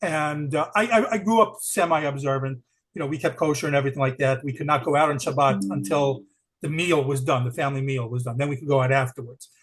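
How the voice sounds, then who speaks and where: A man's voice: medium pitch, quick pace, slightly rough, poor audio. A man hosting a podcast interview, eager and laughing often. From Brooklyn, New York. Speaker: podcast host.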